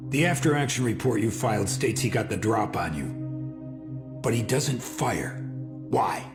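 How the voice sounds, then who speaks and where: A cold intelligence interrogator asks probing questions in a low voice. He sounds controlled, measured and sceptical, in dramatic video game style. Music is clearly heard behind him. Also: animated. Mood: stern, probing. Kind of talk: dramatic cutscene acting.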